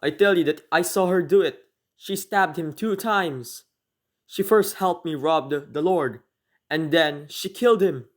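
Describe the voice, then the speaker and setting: A man's voice: on the high side, close to an everyday voice. A man acting an earnest, pleading wronged prisoner. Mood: desperate protest. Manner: earnest, halting, pleading storyteller. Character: anguished wronged male prisoner, a burglar pleading his innocence. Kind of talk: radio drama monologue.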